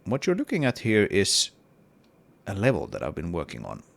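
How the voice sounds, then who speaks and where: A man's voice: deep voice, huge pitch swings, normal speed, creaky. A man with a cold and a partly lost voice, talking casually through his game level in a hesitant devlog with many fillers. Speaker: indie game developer.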